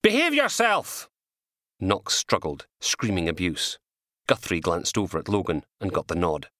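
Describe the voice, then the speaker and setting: A man reading his own crime novel as an audiobook, in an animated scripted narration. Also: sits low, moderate pace, no echo, studio quality.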